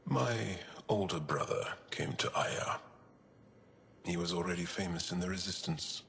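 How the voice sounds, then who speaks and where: A solemn alien warrior from a space game, with a very low voice that swings widely in melody. He speaks slowly and haltingly, tender and weighed down by grief, with music underneath. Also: expressive, vocal fry, a bit bright, slight background noise, full character voice. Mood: sorrowful. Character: grave, grieving alien resistance fighter, male, thoughtful and tender. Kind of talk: video game cutscene acting.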